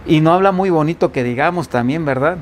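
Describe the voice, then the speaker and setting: A man's voice: on the high side, a little fast, a little hiss or hum. A man from the Veracruz coast preaching as pastor in an informal Bible-study sermon, with a faint Veracruz accent, often asking the congregation questions. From Veracruz coast, Mexico.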